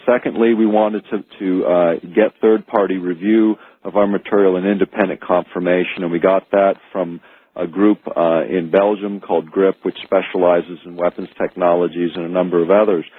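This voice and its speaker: An American man speaking as an author guest in a radio interview, explaining earnestly and haltingly, repeating words as he goes. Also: low voice, fairly even intonation, slightly rough, dry recording. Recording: narrow phone-like archive radio audio.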